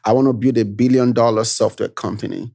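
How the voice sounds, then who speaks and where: A man's voice: mid-range voice, fairly quick, gravelly. Nigerian-accented man telling his story. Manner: relaxed storytelling, frequent "you know" tags. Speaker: guest, tech founder. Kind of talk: casual interview chat.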